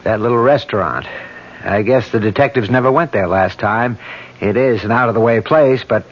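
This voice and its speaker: A man's voice: medium pitch, normal speed, close to an everyday voice. An actor playing a methodical police detective explaining his case in an old radio drama, matter-of-fact. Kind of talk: 1970s radio drama acting. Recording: dull lo-fi old radio broadcast.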